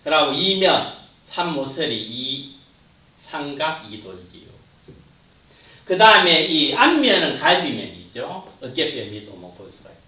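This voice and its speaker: Male lecturer, lively pitch, muffled distant room recording. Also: a little slow, roomy sound. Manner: points at slides, trails off at phrase ends. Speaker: anatomy lecturer. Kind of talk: classroom lecture.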